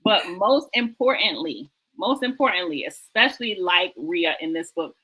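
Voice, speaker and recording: Woman, talk show host, bright forceful voice, conversational, enthusiastic, emphatic, repeats phrases for emphasis. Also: fairly low pitch, lively intonation, normal speed, husky, poor audio. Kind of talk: enthusiastic conversational book discussion.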